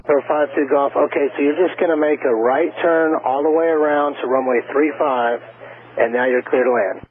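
A man's voice: fairly high pitch, steady tone, moderate pace, narrow, tinny audio. An American man working as an air traffic controller, giving informal, reassuring instructions over the radio. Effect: radio transmission.

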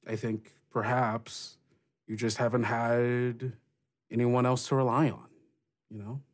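A man voicing a frank, rough-edged female pirate captain in a casual, halting, sweary way for a tabletop game. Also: mid-range voice, flat delivery, natural voice. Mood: sincere, encouraging.

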